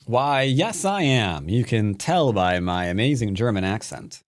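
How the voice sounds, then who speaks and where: A man's voice: on the low side, very animated, measured pace. A man from the United States commenting live on his own Go game, playful and teasing toward his opponent. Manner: playful trash talk. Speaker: streamer and Go teacher.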